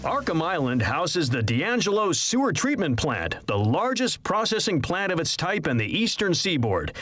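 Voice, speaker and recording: A man's voice: fairly high pitch, a bit bright, exaggerated voice. A man playing a boastful, cheery superhero who gives scripted guided tours in a video game, chatty and self-promoting. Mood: upbeat, informative. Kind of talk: scripted guided-tour narration.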